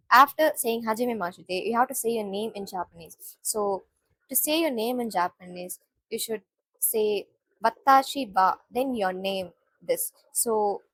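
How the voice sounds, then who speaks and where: A woman's voice: fairly high pitch, breathy edge, poor audio. A woman from India, teaching a beginner Japanese lesson, repeating each phrase and explaining word by word. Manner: repetitive, explains each word slowly with many "so" restarts.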